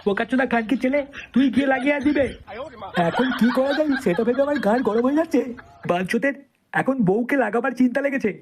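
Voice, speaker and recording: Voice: sits high, fast, slight background noise. A performer voicing a crude, bad-tempered, lecherous male surgeon in a comedy cartoon dub. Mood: irritated. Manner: foul-mouthed, blustering.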